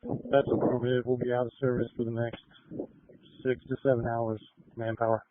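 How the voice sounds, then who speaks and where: Flat-voiced man on narrow two-way radio. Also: medium pitch, normal speed, dry recording. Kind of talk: terse radio traffic. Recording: narrow, phone-like scanner radio transmission.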